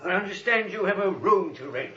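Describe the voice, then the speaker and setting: An actor playing a curt, grumpy, lonely old lodger with clipped phrases in a 1940s radio comedy. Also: male voice, high-pitched, animated, fairly quick, sharp tone, slightly rough, full character voice. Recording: dull vintage radio recording.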